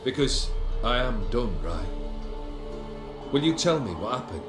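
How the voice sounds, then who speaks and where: A man's voice: mid-range voice, very animated, a little slow, reverberant, over music. A man playing a proud, melancholy, world-weary nobleman in a video game, with an eloquent, formal and brooding delivery. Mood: brooding, reflective.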